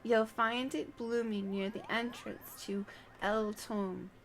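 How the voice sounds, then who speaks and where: Woman voicing a scatterbrained little moogle alchemist, unhurried. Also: on the high side, fairly even intonation. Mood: flustered, urging. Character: helpful, scatterbrained moogle alchemist, small fluffy creature.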